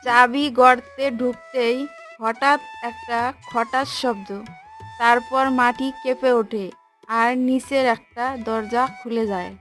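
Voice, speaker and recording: A woman narrating a cartoon fairy tale in a measured, scripted storytelling way. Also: on the high side, steady tone, fairly bright tone, faint music behind.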